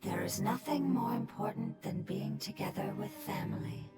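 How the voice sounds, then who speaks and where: An actress playing a calm, motherly spirit who consoles others gently in a game cutscene. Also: a little high, moderate pace, lightly acted. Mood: consoling. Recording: echoey voice over loud game music.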